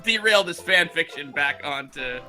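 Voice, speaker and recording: A man's voice: a little high, expressive, moderate pace, pushed and bright. A man bantering casually and playfully with friends as a livestream co-host, easily amused. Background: music playing.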